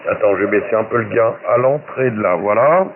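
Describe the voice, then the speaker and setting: A man's voice: on the low side, brisk. Male amateur radio operator, loose slangy talk, a bit crude, heard through thin shortwave with light hiss. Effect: shortwave ham radio transmission. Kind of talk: casual radio chat. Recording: narrow-band shortwave radio with faint static.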